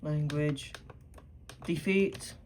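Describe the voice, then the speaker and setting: A woman's voice: sits low, fairly even intonation, extremely slow, a little mellow. A woman giving casual, unscripted setup commentary, thinking aloud and muttering in short phrases. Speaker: product unboxing and setup presenter. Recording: clear background noise, button clicks.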